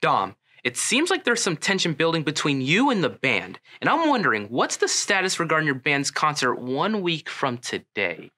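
A man's voice: a little high, huge pitch swings, normal speed, hardly any pauses, sharp tone. A man asking formal, overly earnest questions as an interviewer in a comedy sketch. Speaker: mock interviewer.

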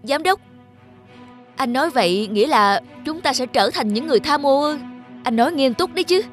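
A woman's voice: sits high, expressive, moderate pace, soft background music. A woman voicing a principled male factory employee in a cartoon, earnest and forthright in manner. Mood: indignant, disappointed. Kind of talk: cartoon voice acting.

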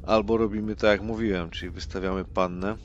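A man with a low voice speaks casually in character during a roleplaying session, advising in a plain-spoken way. The recording is dull with faint background noise. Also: fairly even intonation, brisk. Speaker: tabletop roleplay player.

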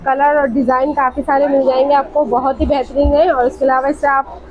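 A woman's voice: high-pitched, flat delivery, brisk, dull audio. A woman hosting a casual shopping vlog, enthusiastically praising and repeating what the seller says. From Pakistan. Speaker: vlog host. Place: indoor fabric shop. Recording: clear background shop noise.

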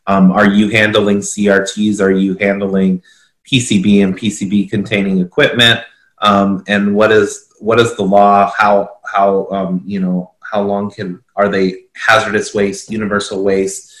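Guy in his 40s, lower voice, halting informal explainer, roomy video call sound. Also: flat delivery, fairly bright tone. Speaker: consultant explaining a standard. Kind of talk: explanatory talk, reading requirements aloud. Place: small indoor office. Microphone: video call computer microphone. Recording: echoey room, video call.

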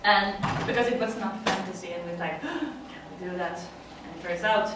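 A woman's voice: fairly low pitch, moderate pace, a bit bright, slight background noise. A middle-aged Swedish woman with a Swedish accent, giving a chatty conference talk in English with frequent laughs and fillers. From Sweden. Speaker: conference speaker. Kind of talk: presentation talk. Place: dim conference room. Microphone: far room mic. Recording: echoey room from far off.

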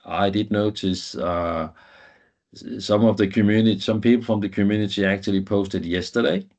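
Low-voiced man, halting technical presenter. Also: fairly even intonation, darkish tone. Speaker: guest presenter, IT professional. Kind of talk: informal technical presentation over slides.

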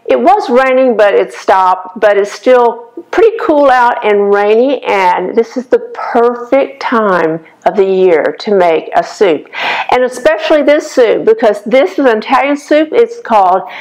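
An older woman with a slightly raspy voice hosts a casual cooking demonstration in her home kitchen, talking the viewer through each step. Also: on the high side, animated, unhurried, darkish tone, low-quality recording. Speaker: cooking show host.

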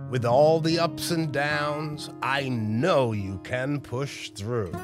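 A man reading a children's picture book aloud in an expressive, measured, encouraging way. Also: medium pitch. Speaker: storybook reader. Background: plucked guitar music.

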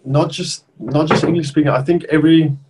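A South African man in his thirties, whose first language is Afrikaans, chatting casually over a video call as an interview guest with relaxed restarts. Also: fairly high pitch, fairly quick, background noise. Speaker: rugby player guest. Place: bedroom at home, indoors.

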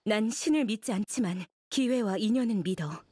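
A woman's voice: mid-range voice, animated, normal speed, a little mellow, breathy edge, no room sound, studio quality. A woman playing an anxious, guilt-ridden scholar who speaks in a guarded, urgent way in a game drama. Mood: resigned.

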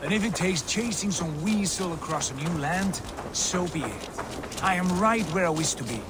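A loyal, adventurous Viking warrior for a game. The voice is fairly high and very lively, hearty and earnest, with clear outdoor noise behind. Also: male voice, normal speed. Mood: eager, fond. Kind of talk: dramatic video game acting.

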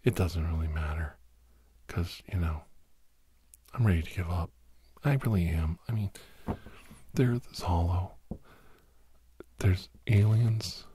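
A middle-aged American man whispering a rambling, conspiratorial comic ASMR monologue in a halting way, breathy and close to the microphone. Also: deep voice, dark tone, a little hiss or hum. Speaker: podcast host. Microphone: close-up microphone, monitored on headphones.

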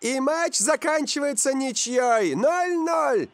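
An actor playing a showy, upbeat male football commentator in an animated cartoon. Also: very high voice, slow, noisy background, heavily acted. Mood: excited.

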